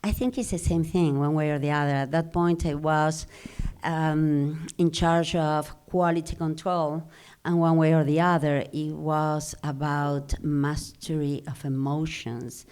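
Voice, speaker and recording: Middle-aged woman with a Spanish accent, her voice sitting a bit low. She answers in an earnest, measured way, pausing to reflect between thoughts. It sounds like a live podcast interview. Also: fairly even intonation, darkish tone, clean tone. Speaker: guest.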